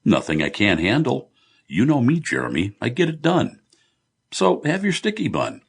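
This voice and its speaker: Casting: male narrator as a chummy, confident architect and amused old pal in an audiobook; swooping intonation, slightly rough tone. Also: mid-range voice, huge pitch swings, moderate pace, voice pushed up, exaggerated voice. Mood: agreeable, assured. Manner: agreeable, chummy, mildly amused.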